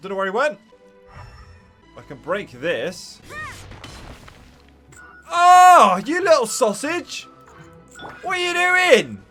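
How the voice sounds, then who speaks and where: A man's voice: very high-pitched, very animated, slow pace, long pauses, sharp tone, soft background music. A man streaming a video game live, reacting playfully and casually with lots of exclamations.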